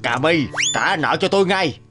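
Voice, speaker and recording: Man acting a high-pitched, bullying cartoon creditor. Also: expressive, moderate pace, over music, lightly acted. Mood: angry, demanding. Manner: blunt, demanding. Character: angry, bullying male money lender.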